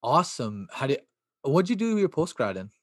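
A man hosting a podcast, friendly, reacting briefly and firing quick questions. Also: fairly high pitch, sing-song, no room sound, clean studio sound. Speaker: podcast host. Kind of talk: casual podcast interview.

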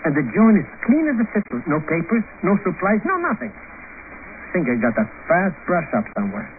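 Comic schemer, high voice, excitable and stammering, 1950s radio drama style, heavy static. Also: male voice, fairly quick. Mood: flustered, whining. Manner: flustered, fawning, stammering. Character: excitable, shifty, whining comic male sidekick and schemer. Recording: noisy, tinny old radio broadcast.

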